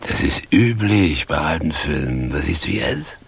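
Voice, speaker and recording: Washed-up thespian tramp, low, slow, very husky, declamatory, radio play. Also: male voice, expressive, a bit bright, heavily acted. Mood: solemn. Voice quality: very husky, creaky. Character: theatrical male tramp, washed-up provincial stage actor. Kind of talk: 1980s German radio drama acting.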